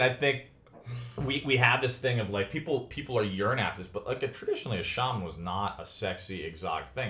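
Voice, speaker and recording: A very low-pitched man in his forties, originally from Chicago, speaking as a guest in a long, reflective interview. He talks earnestly and thoughtfully, restarting his phrases as he reaches for the right words. His voice carries a roomy echo. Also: fairly bright tone. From United States (Chicago). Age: 40s. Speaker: podcast guest.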